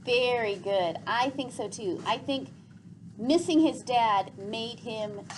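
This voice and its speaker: A woman's voice: fairly high pitch, slow pace. A woman teacher reading aloud to a class and leading discussion, restating points as she goes. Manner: restates and sums up what others say. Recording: clear background noise.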